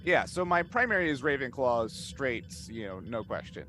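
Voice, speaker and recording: A man's voice: medium pitch, big pitch swings, normal speed, sharp tone, soft background music. A man talking casually and emphatically as a podcast game master, often restarting his sentences. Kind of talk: casual group chat.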